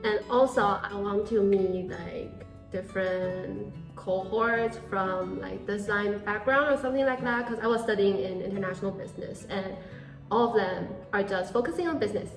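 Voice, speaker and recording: Casual young non-native host, mid-pitched, roomy echo, faint music. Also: female voice, steady tone, normal speed, creaky. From Taiwan. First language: Mandarin Chinese. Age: 20s. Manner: casual, many "like" fillers, switches between Mandarin and English. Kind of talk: casual Q&A chat.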